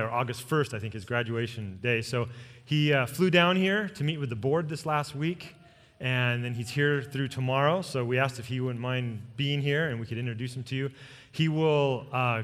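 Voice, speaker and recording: A man's voice: medium pitch, fairly bright tone. A man, a pastor, making informal, joking announcements to a congregation in a casual way. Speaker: senior pastor. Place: large church hall. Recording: long hall reverb from church sound system.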